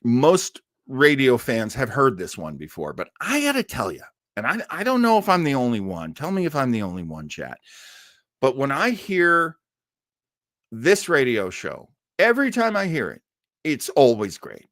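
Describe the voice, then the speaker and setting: A man's voice: medium pitch, huge pitch swings, normal speed, a bit bright, dry recording, studio quality. A man hosting a live web show, chatting casually to his viewers in an enthusiastic, rambling way with playful asides. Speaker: host of a live web show on old-time radio. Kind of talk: casual livestream chat to viewers.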